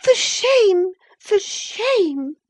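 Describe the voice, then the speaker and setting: A woman voicing an infatuated, petulant young gentry girl in a storybook, shrill and vehement. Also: a little slow, dark tone. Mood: angry indignation.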